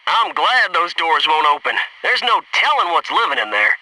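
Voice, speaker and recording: Military game squadmate: a cocky young American SEAL, very sharp, forceful high voice, wisecracking and eager. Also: male voice, very animated, normal speed, recorded from a distance, a little hiss or hum, heavily acted, rough recording. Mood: wry, joking. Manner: punchy, wry, eager banter and callouts. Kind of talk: video game military voice acting.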